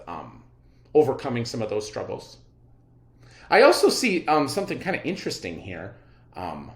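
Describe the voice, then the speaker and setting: A male schoolteacher with a medium-pitched, lively voice leads a read-aloud lesson. He explains as he goes, stopping to wonder aloud and question his listeners. The room adds noticeable echo. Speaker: elementary school teacher leading a reading lesson. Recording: roomy, echoing sound.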